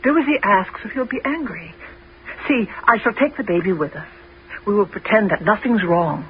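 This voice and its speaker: A woman's voice: mid-range voice, moderate pace, lightly acted. An actress playing an anxious, protective Greek queen and mother in a 1970s radio drama. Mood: worried. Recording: dull, faintly noisy old radio recording.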